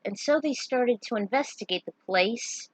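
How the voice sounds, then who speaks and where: A woman narrating a story read-aloud in a deliberate way, with dramatic pauses. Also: on the high side, animated, no echo, low-quality recording. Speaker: narrator reading own fan fiction.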